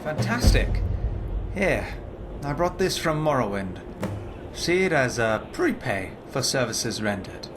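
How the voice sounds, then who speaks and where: A man voicing a polite, earnest lizard-folk lawman and alchemist in a fantasy game, speaking formally and in measured phrases. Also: medium pitch, big pitch swings. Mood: pleased. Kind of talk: scripted fantasy game dialogue. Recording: clear ambient noise behind the voice. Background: game ambience.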